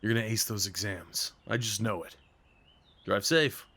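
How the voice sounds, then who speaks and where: A man playing a shy, sweet gentleman on a first date in an ASMR roleplay, speaking in an earnest, hesitant, tender way. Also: lowish voice, moderate pace, a little hiss or hum. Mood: tender, grateful. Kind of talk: ASMR roleplay, intimate scripted acting.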